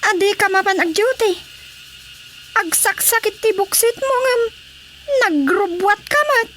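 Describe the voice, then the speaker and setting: An actress playing a suspicious, nagging wife who whines and scolds in a comedy radio drama. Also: female voice, very high voice, animated, unhurried, a bit bright, a little hiss or hum, heavily acted. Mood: nagging, whiny.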